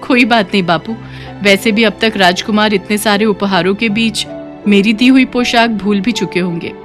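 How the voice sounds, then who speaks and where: A woman voicing a shy, humble young tailor's daughter in an animated story, speaking in a timid, self-doubting way. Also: medium pitch, normal speed, faint music behind, lightly acted.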